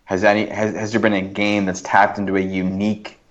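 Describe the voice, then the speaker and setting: Male podcast guest, very low voice, halting, self-revising argument in casual discussion, lo-fi sound. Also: completely flat delivery. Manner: halting, reworks sentences as he argues. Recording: dull, lo-fi voice recording.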